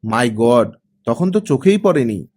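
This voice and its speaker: Audiobook role: breezy brotherly guy, acted by a male reader, blunt and teasing, very lively pitch swings. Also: slow. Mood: admiring, casual. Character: breezy, teasing man, older brotherly family acquaintance. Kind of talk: audiobook character acting.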